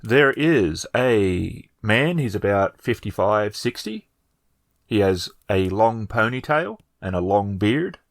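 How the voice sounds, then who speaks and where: A man narrating a tabletop game as game master, improvising with halting, hesitant phrasing. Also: fairly low pitch, big pitch swings, dry recording, clean studio sound.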